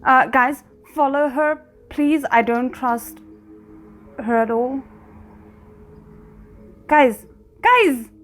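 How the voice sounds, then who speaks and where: Woman reacting aloud, voice on the high side. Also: animated, drawn-out words, long pauses, fairly bright tone, faint music behind. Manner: talks back to characters on screen; thinks aloud about the plot. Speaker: reaction video host. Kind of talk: casual reaction commentary.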